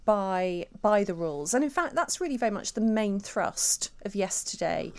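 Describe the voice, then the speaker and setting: A woman's voice: medium pitch, expressive, moderate pace. A woman guest commentator in a radio discussion, speaking in an articulate, emphatic and rhetorical way. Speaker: regular guest commentator, author.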